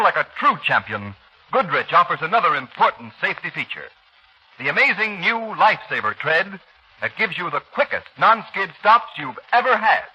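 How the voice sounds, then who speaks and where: A dull, slightly husky 1930s radio recording of a male announcer. His scripted delivery is emphatic and piercingly bright, pitched a little high. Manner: emphatic, declamatory.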